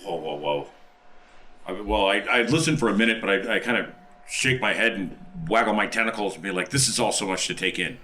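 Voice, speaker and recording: A man playing a tabletop role-playing game, chatting and improvising casually, often halting and repeating his words. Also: fairly low pitch, pushed and bright.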